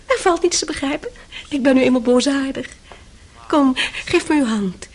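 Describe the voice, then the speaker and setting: A woman's voice: sits high, moderate pace, a little mellow. A woman playing a capricious young temptress in a radio play, mock-sweet, coy and spiteful underneath. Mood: teasing, spiteful. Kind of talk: radio drama acting.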